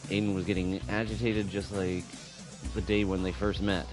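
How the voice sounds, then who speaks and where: A low-pitched man reads a visual novel aloud for his stream, with music clearly playing behind him. He sounds amused and often breaks from the text to react in an offhand way. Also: level tone, moderate pace, rough recording. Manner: amused, reacts and stumbles while reading. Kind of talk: live gaming stream, read-aloud with reactions.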